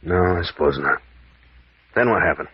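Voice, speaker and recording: An American actor playing a bold, sardonic adventurer captain in an old-time radio serial, with needling, probing delivery. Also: male voice, low-pitched, expressive, normal speed, slightly rough, heavily acted. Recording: muffled, echoey vintage radio recording.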